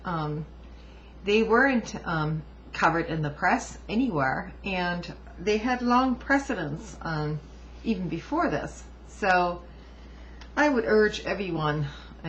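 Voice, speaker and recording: Female commentator reading aloud, very unhurried and deliberate, emphatic asides, dull home recording. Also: fairly low pitch, fairly bright tone, a little hiss or hum. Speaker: amateur book reviewer and commentator.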